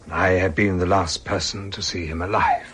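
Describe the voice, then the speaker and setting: An actor in a radio drama playing an ailing, remorseful old British army colonel, speaking formally and haltingly in a husky, breathy voice. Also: male voice, deep voice, steady tone, fairly bright tone, slight background noise. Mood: sombre. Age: older.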